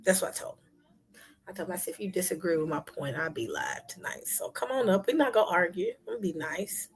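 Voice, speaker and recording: A woman hosting a casual livestream chat, talking to her viewers with soft whispery asides and sudden loud bursts. Her voice is husky, as if she is losing it. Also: fairly low pitch, animated, fairly quick. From Memphis, United States. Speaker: livestream host.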